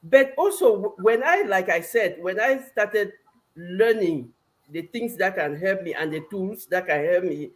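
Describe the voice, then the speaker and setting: A woman's voice: medium pitch, lively intonation, measured pace, rough recording. A woman from Cameroon with a Cameroonian accent, explaining conversationally as a talk show guest, with emphatic repetition.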